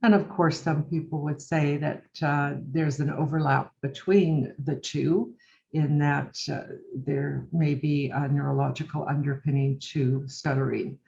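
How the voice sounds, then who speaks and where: A woman's voice: deep voice, steady tone, unhurried, gravelly, darkish tone. A woman in her sixties, a speech-language pathologist, explaining her work in a friendly way. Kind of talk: conference presentation. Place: video call. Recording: dull lo-fi audio.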